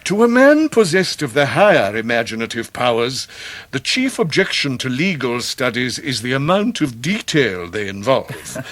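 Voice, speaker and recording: An actor playing a pompous middle-aged Victorian clerk with flowery, grandiloquent English speech, in a radio drama. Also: male voice, mid-range voice, sing-song, normal speed, fairly bright tone, no echo. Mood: grandly genial. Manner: grandiloquent, theatrical, orotund.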